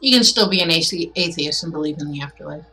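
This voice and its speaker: A woman's voice: low voice, animated, fast, bright, forceful tone, faint music behind, low-quality recording. A woman game streamer chatting casually as she reacts to a game.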